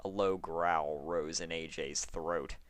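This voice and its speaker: Deep-voiced man narrating a story, sore throat. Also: fairly even intonation, slow pace, fairly bright tone, no room sound. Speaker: fan fiction reader.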